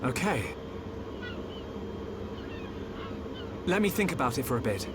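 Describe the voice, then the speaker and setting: An actor playing a thoughtful, gentle young hero in a video game, sincere and questioning. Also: male voice, medium pitch, very animated, fast talker, noisy background, natural voice. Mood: serious. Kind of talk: video game cutscene acting.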